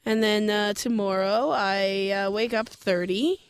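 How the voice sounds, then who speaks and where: A woman's voice: mid-range voice, steady tone, measured pace, smooth, no echo. A woman turning thirty, a comedian co-hosting a radio show, talking in brash, profane, laugh-filled banter in the studio. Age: 30s. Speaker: comedian co-host.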